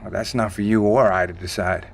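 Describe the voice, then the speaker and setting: A low male voice from an actor in an audio drama, playing a calm insider who holds secrets. He explains grim matters in a measured, matter-of-fact way and stays evasive when pressed. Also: vocal fry.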